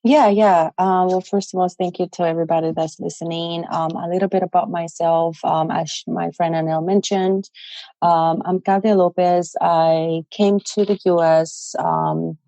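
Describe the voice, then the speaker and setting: A woman's voice: lowish voice, fairly even intonation, normal speed, mellow, no echo, low-quality recording. A woman, a guest telling her story on a podcast interview, earnest and plain-spoken with many fillers. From El Salvador. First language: Spanish. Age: 20s. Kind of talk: casual interview.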